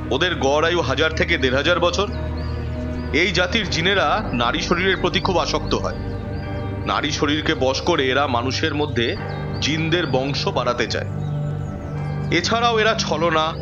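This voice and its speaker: A man's voice: on the high side, animated, moderate pace, pauses often, close to an everyday voice. A man acting as a confident occult expert in an audio drama, explaining things like a storyteller. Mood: grave storytelling. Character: confident male occult expert recounting a battle with a djinn. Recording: muffled audio under prominent music.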